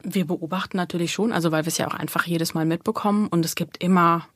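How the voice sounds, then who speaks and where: A woman's voice: lowish voice, steady tone, fast, no echo, clean studio sound. A woman, giving explanatory answers in long linked sentences as an interview guest. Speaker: talent agency manager, interview guest.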